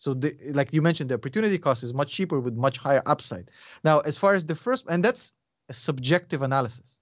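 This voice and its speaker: A fairly high-voiced man in his forties with a Russian accent talks loosely and bluntly as an interview guest, mixing jokes and curses into his points. His audio is dull and lo-fi, and the room is dead dry. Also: animated, very fast, no echo. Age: 40s. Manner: rambling, blunt, swears, jokes. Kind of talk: casual interview chat.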